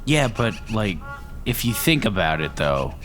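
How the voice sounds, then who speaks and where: A man playing an earnest, slightly dim, laid-back museum visitor in naturalistic audio comedy, halting and trailing off. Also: on the low side, big pitch swings, a bit bright, faint music behind. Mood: earnest, musing.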